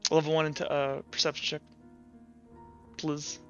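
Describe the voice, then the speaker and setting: A man acting as game master, improvising narration and rulings in a halting way over an online call. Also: on the high side, little pitch movement, muffled recording. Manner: halting, feeling out descriptions. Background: faint music.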